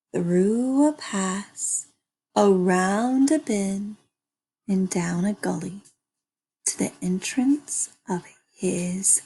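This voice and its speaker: A woman reading a children's story aloud with animated, dramatic and deliberate phrasing. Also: medium pitch, slightly rough, poor audio. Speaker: storybook reader. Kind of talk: animated scripted children's narration.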